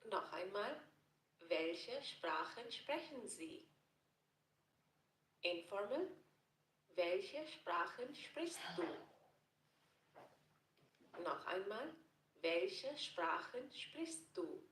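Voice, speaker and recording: A woman teaching German phrases in a scripted lesson narration, repeating each phrase slowly and carefully. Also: medium pitch, sing-song, a bit bright, a little hiss or hum. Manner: slow, careful repetition of phrases. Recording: roomy echo.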